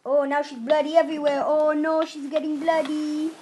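A woman's voice: sits high, level tone, normal speed, dark tone, a little hiss or hum. A woman giving casual, playful, chatty commentary during a video game roleplay. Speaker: gaming video host.

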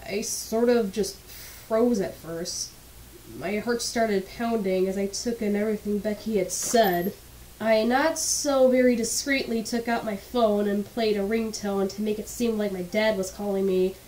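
A young woman narrating her own story in a relaxed, unpolished storytime style. Her voice is a bit stuffy and congested, as if from a cold. She talks bluntly and candidly, swearing casually as she goes. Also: medium pitch, slight background noise, poor audio.

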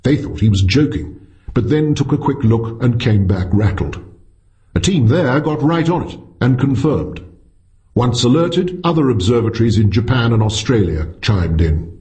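A man's voice: medium pitch, animated, normal speed, mellow, highs cut off. A man reading a scripted short story as an audiobook narrator.

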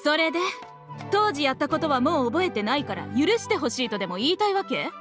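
An actress playing a sharp, resentful young woman scholar in a Japanese anime game, with accusing, sarcastic delivery. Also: high-pitched, big pitch swings, fast, over music.

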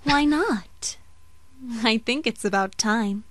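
A woman playing a loving but firm young mother in a fan-dubbed animated drama. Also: on the high side, slow, no room sound. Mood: affectionate. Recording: noisy amateur dub with ambience.

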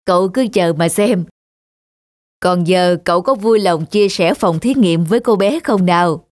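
A man's voice: high voice, unhurried, no echo, clean studio sound. A man voicing a kindly, confident old professor in a cartoon, genial and assured. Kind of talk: animated drama dubbing. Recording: music under the voice.

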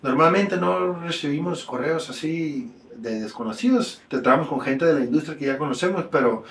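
Mexican guest in his thirties, laid-back slangy talker, a little high-pitched, echoey room. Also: normal speed, recorded from a distance. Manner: relaxed slangy storytelling, animated when telling stories. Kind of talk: casual storytelling interview. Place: small indoor room.

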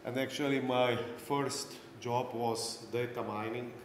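A man's voice: medium pitch, steady tone, measured pace. A middle-aged man from Slovenia with a Slovenian accent, giving a technical lecture at a conference as a non-native speaker. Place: indoor conference breakout room. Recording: roomy echoey hall, clear background noise.

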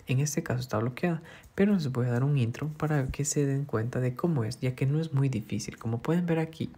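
A man's voice: medium pitch, a little mellow, husky. A man hosting a phone app tutorial, explaining each step patiently and repeating himself. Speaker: tutorial host. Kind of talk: screen-recorded phone tutorial.

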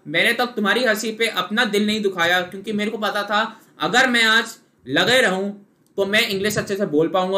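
A man's voice: high-pitched, sharp tone. A young Indian man coaching students in a live online class, explaining patiently and calling on viewers by name. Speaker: SSB interview coach.

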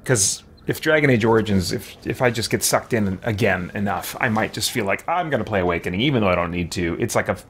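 A man's voice: lowish voice, expressive, fast talker, hardly any pauses, a bit bright, slight background noise. A man in his forties giving casual gameplay commentary, musing and often interrupting himself. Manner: rambling, musing, self-interrupting. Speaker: game streamer. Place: small indoor room with shelves.

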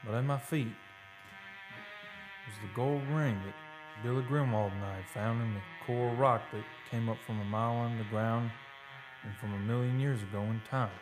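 An actor playing a haunted oil driller in a radio drama, telling his story in a folksy, halting, suspenseful way. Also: mid-range voice, fairly even intonation, close to an everyday voice, rough recording. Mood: uneasy. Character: haunted male oil driller recounting a terror. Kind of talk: live old-time radio drama monologue. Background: live dramatic music.

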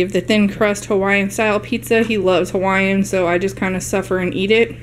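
Young woman vlogger, casual rambling show-and-tell, mid pitch, faint room hum. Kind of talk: casual haul video narration. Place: home living room.

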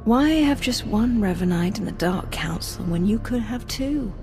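A woman voicing a curt, ruthless dark lord. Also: medium pitch, animated, moderate pace, close to an everyday voice. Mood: cold. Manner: curt and commanding. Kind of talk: video game voice acting. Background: game music.

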